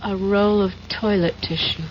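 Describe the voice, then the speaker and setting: An actress playing a cool, worldly young American double agent in a vintage radio drama. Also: female voice, mid-range voice, steady tone, normal speed, slight background noise, close to an everyday voice. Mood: skeptical. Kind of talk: 1970s radio drama acting. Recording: dull lo-fi vintage radio, faint music.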